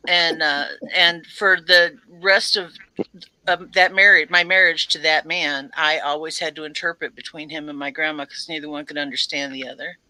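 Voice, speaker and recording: A woman's voice: sits low, moderate pace, dry recording, rough recording. A woman on a live talk stream, chatting casually and telling a funny family story with laughs. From family from Arkansas. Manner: laughing, lively anecdote telling.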